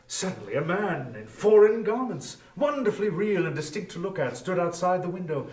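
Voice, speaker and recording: A man's voice: sits high, very animated, normal speed, darkish tone. Echoey, theatrical male storybook narrator. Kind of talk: dramatic scripted literary narration. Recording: echoey room, distant from microphone.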